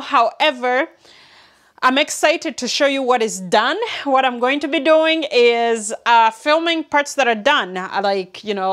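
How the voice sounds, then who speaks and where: A woman from Uganda in her thirties sits in her big walk-in closet and talks straight to the camera. Her voice sits a little high, and she gestures a lot as she speaks. She is chatty and loose, full of likes and restarts. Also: a bit bright. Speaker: vlogger. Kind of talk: casual talk to camera. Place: large walk-in closet at home, indoors. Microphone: seated, medium framing to camera.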